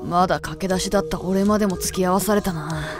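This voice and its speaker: A woman's voice: on the low side, quick pace, lightly acted. A woman playing a proud, jealous, hot-headed teenage boy in a comedic voice drama, with intense melodramatic delivery. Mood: stern. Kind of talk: comedic anime-style voice drama acting. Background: background music.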